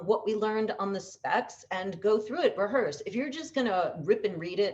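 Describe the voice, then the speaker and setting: A woman's voice: mid-range voice, dull audio. A woman coaching in a conversational, instructional way, stressing key words by repeating them and asking rhetorical questions. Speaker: voice-over coach. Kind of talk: instructional talk with tips.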